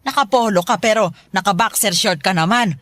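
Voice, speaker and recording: A woman's voice: mid-range voice, brisk, fairly bright tone, natural voice. A woman playing a fussy middle-aged mother in a radio drama, nagging and teasing her husband. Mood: playful nagging. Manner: nagging, bantering.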